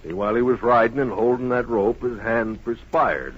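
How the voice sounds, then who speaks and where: An actor playing a steady, folksy small-town sheriff in an old radio Western, questioning people in a measured, matter-of-fact way. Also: male voice, medium pitch, slightly rough, close to an everyday voice. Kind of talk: 1950s radio Western acting. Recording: muffled old-time radio recording.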